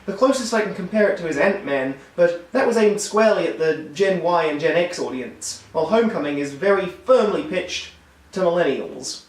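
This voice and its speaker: Hoarse high-voiced man reviewing films. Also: expressive, moderate pace. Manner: wry, enthusiastic critique. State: hoarse, losing his voice. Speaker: film reviewer. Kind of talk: scripted video review. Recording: roomy echo, distant mic.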